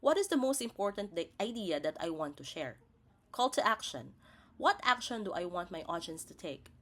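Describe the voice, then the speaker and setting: A woman's voice: fairly low pitch, very animated, normal speed, a bit bright. A woman presents a scripted lesson as a teacher, spelling out each point in a didactic, step-by-step way. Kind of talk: scripted instructional narration.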